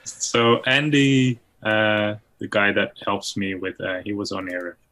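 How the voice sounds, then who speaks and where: Male call participant, low but sharp-toned, casual conversational delivery. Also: little pitch movement, slow pace, low-quality recording. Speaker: participant in a live stream. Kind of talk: casual chat and anecdote on a video call. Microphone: video call.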